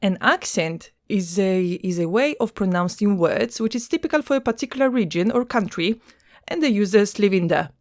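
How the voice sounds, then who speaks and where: A woman from Poland with a Polish accent, hosting an educational podcast and explaining in a clear, teacherly way with occasional fillers. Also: mid-range voice, lively intonation, normal speed. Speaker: podcast host, language teacher.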